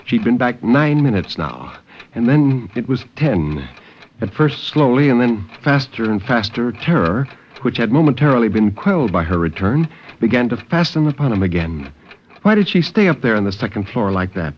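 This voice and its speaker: A man giving a dramatic, deliberate and intense reading of suspense fiction in 1950s radio style. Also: medium pitch, big pitch swings. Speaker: story narrator. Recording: muffled, noisy 1950s radio recording.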